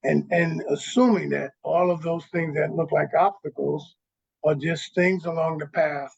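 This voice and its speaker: A man with a fairly high, gravelly voice speaks in a reflective, halting way and often restarts his sentences. His sound comes over a dull, lo-fi line. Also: fairly even intonation. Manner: thoughtful, halting, many restarts. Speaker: radio show guest. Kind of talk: reflective conversation.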